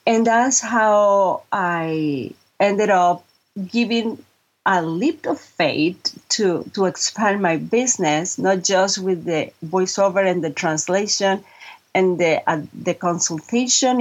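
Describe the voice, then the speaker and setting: A woman's voice: mid-range voice, muffled recording. A middle-aged woman from Mexico, a Spanish speaker, earnestly answering interview questions with frequent restarts. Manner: earnest, restarts often, halting then quick. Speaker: guest, voiceover artist and marketing consultant. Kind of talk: interview conversation.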